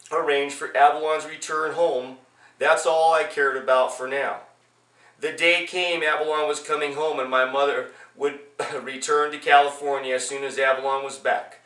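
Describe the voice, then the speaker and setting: A man reading his own personal memoir aloud as its author, in a halting way with repeated phrases. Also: a little high, flat delivery, sharp tone. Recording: echoey room, distant from mic.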